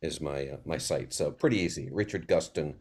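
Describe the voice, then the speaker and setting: A man speaking haltingly and repeating himself as he introduces himself as a guest on a casual online panel. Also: extremely low pitch, a little mellow, husky. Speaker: panel guest, playwright and teacher.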